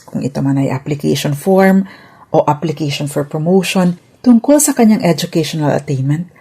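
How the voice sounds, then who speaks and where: A woman's voice: lowish voice, mellow, slightly rough. A woman with a Filipino accent giving a formal, scripted legal explanation as a host, stressing key points as she goes.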